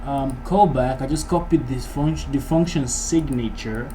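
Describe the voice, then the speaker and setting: A man giving a casual programming tutorial, thinking aloud in an informal, halting way as he works. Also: mid-range voice, dark tone. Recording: noisy mic with keyboard typing. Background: background noise, keyboard typing.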